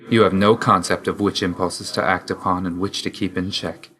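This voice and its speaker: An actor playing a brooding young god of death in a video game, speaking in a reserved, dry, measured and formal way. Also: male voice, low-pitched, little pitch movement, a bit bright. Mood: guarded, tender. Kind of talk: video game character dialogue.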